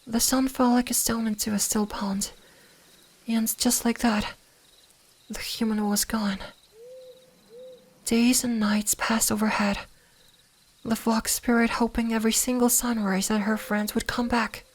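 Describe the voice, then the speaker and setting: A woman voicing a gentle, lonely young kitsune fox spirit in an ASMR roleplay, half-whispering softly and wistfully like a bedtime storyteller. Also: fairly high pitch, little pitch movement, unhurried, pauses often. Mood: wistful, sorrowful. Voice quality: soft, breathy, half-whispered.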